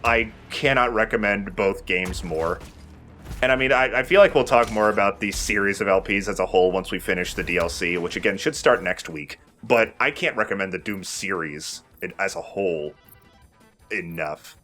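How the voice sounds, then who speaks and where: A man's voice: deep voice, moderate pace, sharp tone, soft background music. A man giving casual, rambling and opinionated video game commentary as the host of a let's play.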